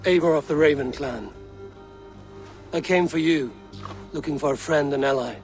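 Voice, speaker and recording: A man voicing a stoic Viking raider in a video game, speaking in a measured, solemn, terse way. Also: a little high, a little mellow, faint music behind, natural voice. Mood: steady, direct. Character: stoic male Viking raider and clan warrior. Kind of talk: video game dramatic acting.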